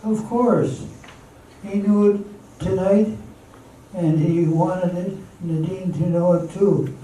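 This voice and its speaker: A man's voice: high-pitched, steady tone, takes it slowly, dark tone. A man playing an earnest, reassuring friend in an old-time radio drama, recorded at a distance in an echoey room. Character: Johnny, earnest, reassuring male friend giving love advice. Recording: echoey, distant stage mic with faint noise.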